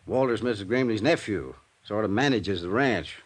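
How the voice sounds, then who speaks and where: An actor playing a worried, hesitant small-town banker with an earnest, folksy way of talking in a 1950s radio drama. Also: male voice, fairly low pitch, moderate pace, lightly acted. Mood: earnest explaining.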